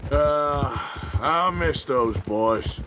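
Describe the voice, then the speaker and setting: An actor playing a weary, laconic American cowboy outlaw with a drawl in a western video game. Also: male voice, mid-range voice, lively intonation, very slow. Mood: wistful. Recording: game audio with music behind. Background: music, horse hooves and animal sounds.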